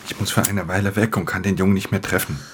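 A man's voice: steady tone, fast talker, background noise, lightly acted. An actor playing a weary, hard-edged thief in a radio drama, speaking in a terse, subdued way as he narrates and talks. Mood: flat, guarded. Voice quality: very deep, gravelly. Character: weary, hard-edged male thief narrating his own crime story. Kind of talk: radio drama, first-person narration and dialogue.

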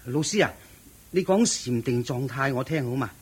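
An actor playing an eager, admiring young lay disciple, deferential and naive, speaking Hong Kong Cantonese in a radio drama. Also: male voice, mid-range voice, expressive, moderate pace, husky. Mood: curious, respectful.

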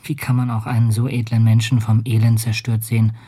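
An actor playing an earnest, ambitious polar explorer and ship's captain in a radio drama, speaking and narrating in a heartfelt, literary way. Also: male voice, medium pitch, little pitch movement, fairly quick, mellow, slightly rough, natural voice. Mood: reflective, tender.

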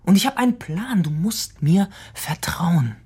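An actor playing a brash, stubborn young blackmailer in a radio crime drama, pushy, defiant and slangy. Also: male voice, sits high, expressive, unhurried, darkish tone, breathy edge, heavily acted. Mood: heated, defiant. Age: young adult.

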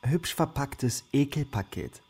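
An actress playing a blunt, scornful young female flatmate, offhand and irritated, in a radio drama. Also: rumbling low, slow, husky, full character voice.

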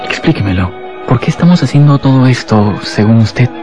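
An uneasy conspirator speaks in a medium-pitched voice, played by a man. He sounds nervous and searching, as in a tense radio play. Music is clearly audible behind him. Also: animated, brisk, lightly acted. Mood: probing. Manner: nervous, probing. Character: uneasy male conspirator, anxious and questioning. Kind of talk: Spanish-language radio drama acting.

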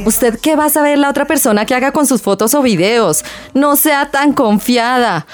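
Woman as a high-voiced, sceptical, scolding Colombian realist. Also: moderate pace, soft background music, lightly acted. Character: sceptical, scolding woman warning against online romance. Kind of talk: scripted radio rebuttal, colloquial.

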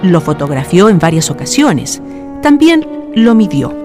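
A woman's voice: fairly low pitch, big pitch swings, measured pace, music in the background. A woman reading scripted narration for a radio drama.

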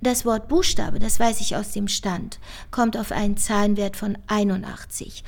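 An actress playing a clever, playful code researcher in a naturalistic radio drama, speaking precisely with a teasing edge. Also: female voice, mid-range voice, fairly even intonation, normal speed, creaky. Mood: precise, matter-of-fact.

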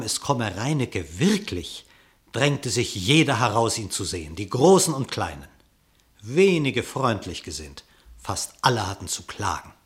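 A man narrating a radio play in verse, expressive and theatrical, with measured diction. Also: on the low side.

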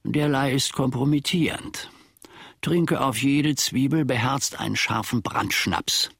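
An actor playing a pedantic, simple-minded manservant in a radio play, speaking in a ponderous, mock-learned, rambling way. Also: male voice, mid-range voice, measured pace, gravelly, fairly bright tone, husky, no echo, studio quality, exaggerated voice. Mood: wry, self-important. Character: pedantic, simple-minded male servant of a philosopher. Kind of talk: German radio play acting.

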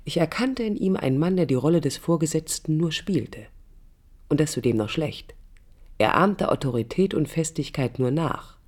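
A woman's voice: sits low, lively intonation, normal speed, darkish tone. An actress playing a restless, idealistic young woman architect, narrating reflectively in a German radio drama. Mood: critical, wary. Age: young adult. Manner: reflective, literary, emphatic short phrases. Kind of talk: 1980s German radio drama, introspective first-person narration.

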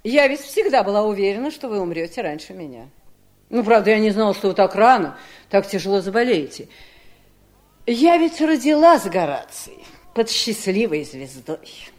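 An actress playing a scornful, cold-hearted, greedy wife in a 1970s radio drama, with a mocking, theatrical delivery. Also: female voice, mid-range voice, sing-song, moderate pace, natural voice. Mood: gloating, cruel.

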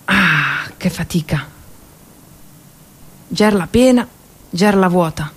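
A woman's voice: fairly low pitch, expressive, slow, breathy edge, heavily acted. An actress playing a weary, hungry but feisty mountain peasant woman, earthy and wry, in a theatrical monologue. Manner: earthy, wry, sighing with effort.

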